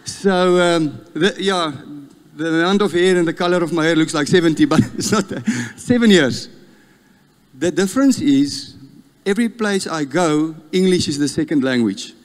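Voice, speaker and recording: A man in his fifties with a South African Afrikaans accent, preaching a sermon and telling stories with lively, self-mocking humour. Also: on the high side, normal speed. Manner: storytelling, animated, laughs at himself. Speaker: guest preacher. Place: church hall. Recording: long church reverb.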